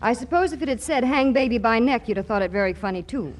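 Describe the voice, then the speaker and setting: A woman's voice: on the high side, expressive, fast talker, crowd noise, natural voice. An actress playing a spirited young working woman with a sardonic, bantering manner in a 1940s radio comedy. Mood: wry, sarcastic. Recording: noisy, hissy vintage 1940s radio broadcast.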